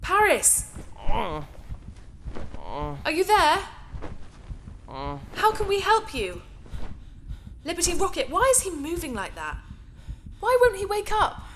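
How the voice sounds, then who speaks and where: An actress playing a naive, caring artificial intelligence in a science fiction audio drama, speaking with earnest, simple questions. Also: female voice, very high voice, wildly swooping pitch, takes it slowly, pauses often, fairly bright tone, slight background noise, heavily acted. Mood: panicked.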